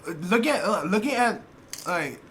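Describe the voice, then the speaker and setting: A man's voice: high voice, big pitch swings, normal speed, fairly bright tone, slight background noise. A man co-hosting a casual podcast, reflective and agreeable, often answering with "right, right". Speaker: podcast co-host. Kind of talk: casual chat among friends. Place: indoor room with friends.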